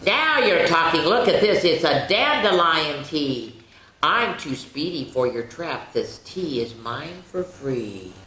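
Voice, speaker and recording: A woman's voice: deep voice, sing-song, slow, bright, forceful tone, roomy sound, full character voice, low-quality recording. A woman voicing a cheeky, boastful little male leprechaun in sing-song rhyme, reading a children's picture book aloud. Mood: taunting. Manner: sing-song rhyming taunts.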